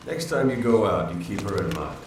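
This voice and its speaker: Low-voiced military man in an echoey space. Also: very animated, a little fast, recorded from a distance. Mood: measured, persuasive. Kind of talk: video game cutscene acting. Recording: echoey, noisy room, off the mic.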